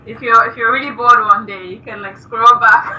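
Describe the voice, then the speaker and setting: A young woman from Nigeria, a musician guest, chatting softly and giggling with lots of fillers. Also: on the high side, sing-song, unhurried. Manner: soft-spoken, giggly, with many fillers. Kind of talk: casual interview chat. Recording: distant, dull lo-fi remote call with faint noise.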